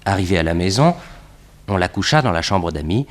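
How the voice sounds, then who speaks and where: An actor playing a young, streetwise detective's assistant who narrates an early sixties radio adventure in a matter-of-fact way. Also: male voice, sits low, expressive, fairly quick. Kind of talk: early 1960s radio drama narration and dialogue. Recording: old radio production with music behind.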